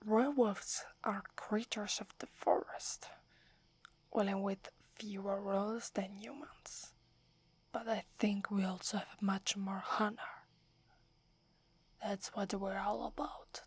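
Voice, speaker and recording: A woman's voice: mid-range voice, husky, no room sound. A woman from Italy with an Italian accent, whispering as a proud, wary young female werewolf who is prickly and defensive. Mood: haughty, wary. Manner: haughty, grudging, defensive. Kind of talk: ASMR roleplay.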